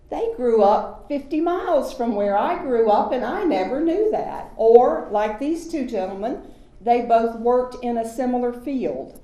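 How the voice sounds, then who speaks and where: A woman's voice: medium pitch, warm tone. A woman hosting an event, introducing storytellers in a friendly, informal way. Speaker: event host. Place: retirement community event room. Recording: echoey room.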